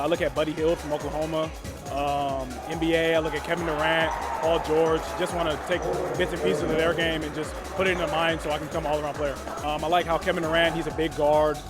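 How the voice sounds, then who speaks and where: A teenage boy, a high school basketball recruit, answering interview questions in a halting way with many restarts. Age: teens. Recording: loud music underneath.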